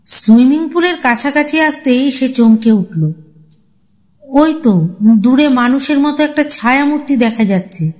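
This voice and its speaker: A woman narrating a horror story as a storyteller, with a suspenseful, expressive dramatic reading. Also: sits high, unhurried.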